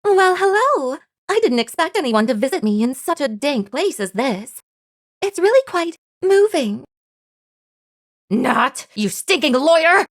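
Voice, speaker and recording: A high-voiced, spiteful young prisoner. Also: female voice, sing-song, a little slow, full character voice. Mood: mock-sweet. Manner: mock-sweet turning to venomous insults. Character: spiteful, theatrical young woman jailed for wiretapping. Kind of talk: dramatized video game dialogue.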